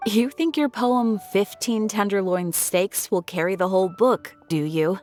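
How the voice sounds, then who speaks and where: A woman playing a skeptical, practical bookseller in a video game, speaking in a dry, measured, sarcastic way. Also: mid-range voice, darkish tone, soft background music, natural voice. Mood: dry, exasperated. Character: skeptical, practical female small-business bookseller.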